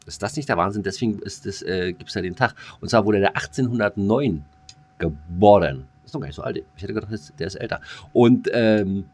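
A man's voice: fairly low pitch, brisk. A man co-hosting a podcast in casual comedic banter, rambling and throwing in jokey asides. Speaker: podcast co-host.

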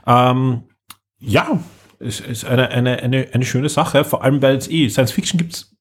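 A man's voice: medium pitch, a little slow, slightly rough. A man talking casually as a podcast co-host. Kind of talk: casual chat about audio drama series.

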